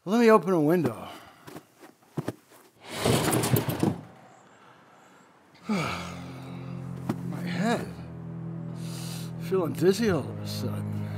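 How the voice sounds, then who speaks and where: A smug, boastful intelligence agent in a radio drama. He speaks slowly, flirtatious and hesitant, over clearly audible music. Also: fairly high pitch, very animated. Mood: nervous, evasive. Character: smug adulterous male CIA agent, boastful, evasive. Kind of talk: radio play acting.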